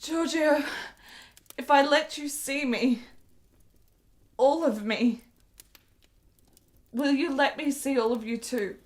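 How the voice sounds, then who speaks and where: An actress plays a frail, ailing woman desperately in love in a period radio play. Her voice is high and she speaks very slowly. She is hesitant and emotional, insecure yet devoted. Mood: vulnerable, trusting. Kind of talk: period radio drama dialogue.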